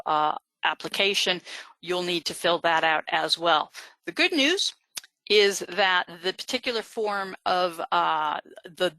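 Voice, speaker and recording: A woman's voice: mid-range voice, sharp tone, dry recording, rough recording. A woman genealogist giving a live webinar lecture, explaining step by step with frequent fillers. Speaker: genealogist presenter.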